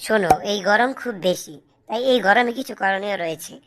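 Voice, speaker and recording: A woman's voice: mid-range voice, fairly quick, natural voice. A woman playing an earnest schoolteacher in a cartoon, explaining and advising children. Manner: instructive, explanatory. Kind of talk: didactic cartoon dialogue.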